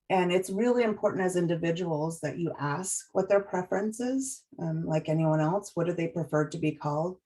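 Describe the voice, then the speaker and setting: A woman with a notably low voice gives a training presentation and moves through her slides step by step. She talks in a measured, explanatory way, pausing often and restarting her sentences. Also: warm tone.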